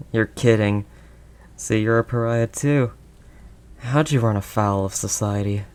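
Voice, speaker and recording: A man playing a protective older male werewolf loner, gentle and conversational, in an intimate audio roleplay. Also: lowish voice, slow, clean tone. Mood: sympathetic, reassuring. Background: rain ambience sound effects.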